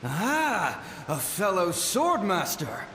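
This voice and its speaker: A man's voice: high-pitched, wildly swooping pitch, slow pace, bright, forceful tone, exaggerated voice. A possessed, taunting swordsman. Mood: menacing. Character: possessed young swordsman, gleeful and bloodthirsty. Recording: echoey game audio with faint hiss.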